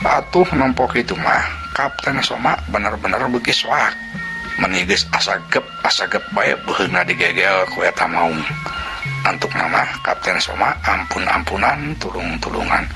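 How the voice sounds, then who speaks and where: Gravelly Sundanese storyteller over music. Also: male voice, sits low, moderate pace. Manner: vivid, sound-word narration. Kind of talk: dramatic folk tale narration. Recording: narration over loud music.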